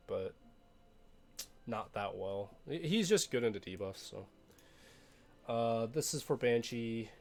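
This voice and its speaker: A young man, a little hoarse from being sick, talking casually through his game roster with frequent fillers and restarts. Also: medium pitch. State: hoarse from illness. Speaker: game streamer.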